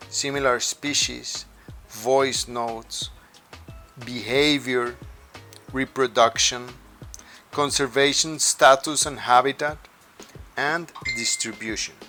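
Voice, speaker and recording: A man's voice: mid-range voice, sharp tone. A middle-aged man from Colombia, a native Spanish speaker with a Colombian accent, working as a birding guide and explaining things carefully and earnestly to camera. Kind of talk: informal review to camera.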